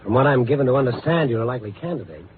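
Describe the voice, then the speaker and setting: An American actor playing a tough, steady night-beat newspaper reporter in a 1950s radio drama, speaking in a clipped, dry manner. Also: male voice, mid-range voice, racing, background noise, natural voice. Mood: terse, pressing. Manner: clipped, dry, hard-boiled. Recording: boxy, narrow 1950s radio recording.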